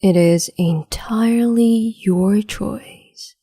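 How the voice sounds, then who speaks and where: A woman playing a breathy, whispering cruel empress. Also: mid-range voice, dark tone, exaggerated voice. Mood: silky menace. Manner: slow, mocking and condescending. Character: cruel capricious woman empress, imperious and teasing. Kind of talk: whispered ASMR roleplay.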